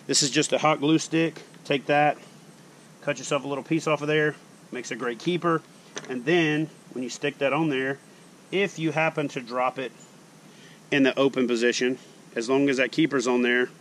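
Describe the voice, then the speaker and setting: A man's voice: mid-range voice, slight background noise. Folksy man demoing fishing gear outdoors. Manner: relaxed, folksy explaining, plain talk. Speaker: fishing show host. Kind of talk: casual how-to demonstration. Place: backyard lawn outdoors. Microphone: camera mounted close on chest.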